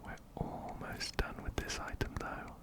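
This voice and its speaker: A man whispering ASMR game commentary very close to a binaural mic, gently repeating his phrases. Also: a little slow. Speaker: ASMR host playing a video game. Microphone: close binaural microphone, ear to ear.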